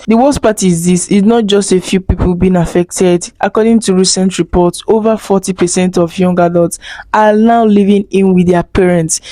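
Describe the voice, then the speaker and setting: Young woman vlog host, chatty, mellow tone, dry close sound. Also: medium pitch, dry recording. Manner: chatty, addresses viewers as guys. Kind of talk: commentary vlog.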